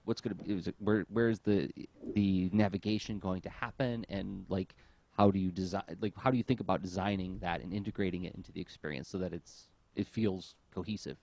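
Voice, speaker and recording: A man with a fairly low voice and a dry, close sound hosts a casual interview. He thinks aloud, circling and rephrasing his questions mid-sentence. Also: fairly even intonation, brisk, darkish tone, dry recording. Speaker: podcast host, interviewer.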